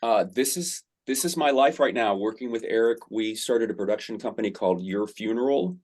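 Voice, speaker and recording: Deep-voiced man, rambling and excited. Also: fairly quick. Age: middle-aged. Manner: rambling, enthusiastic, many fillers. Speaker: actor. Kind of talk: casual interview answers.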